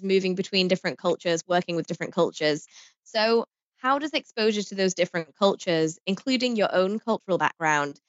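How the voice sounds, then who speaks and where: A woman acts as podcast host, guiding a panel interview. Her voice sits somewhat low and her delivery is effusive and conversational. The sound is dry and a bit muffled. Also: dry recording.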